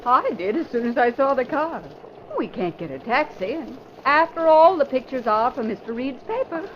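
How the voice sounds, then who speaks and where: Lively, wisecracking young newspaper photographer gal. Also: female voice, sits high. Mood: pert, brisk. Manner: slangy, brisk, sardonic. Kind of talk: 1940s radio acting. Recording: muffled old radio recording with hiss.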